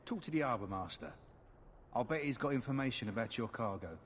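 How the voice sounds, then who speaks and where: A man's voice: medium pitch, expressive, fairly quick, natural voice. An actor playing a helpful, plain-spoken male dock worker in a video game. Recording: faint dockside noise.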